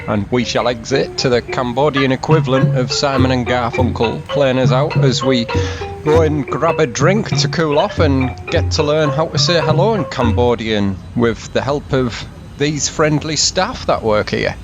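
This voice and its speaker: A man from England, narrating a travel vlog voice-over in a steady, informative tour-guide way. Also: mid-range voice, normal speed, lo-fi sound, over loud music.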